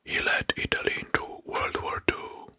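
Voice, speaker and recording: A man narrating in a whispered ASMR style, slow and deliberate with a breathy voice, close to the mic. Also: dry recording. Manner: slow, deliberate, repeats words softly. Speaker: host of ASMR history video. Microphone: close mic, dry.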